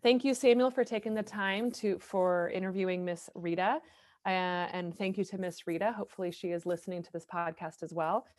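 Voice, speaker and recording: A woman's voice: fairly low pitch, fairly even intonation, a little fast, darkish tone. A woman hosting a podcast in a conversational interview style. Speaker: podcast host.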